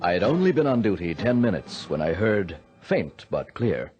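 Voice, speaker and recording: An actor playing an earnest air control operator, delivering dramatic radio narration. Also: male voice, low-pitched, sing-song, normal speed, highs cut off, over music. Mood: grave. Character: earnest male air control operator recounting a sea rescue.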